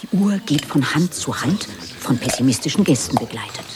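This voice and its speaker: A man's voice: mid-range voice, expressive, moderate pace, background noise, natural voice. A man narrating stage directions in a radio play, as scripted asides between the dialogue.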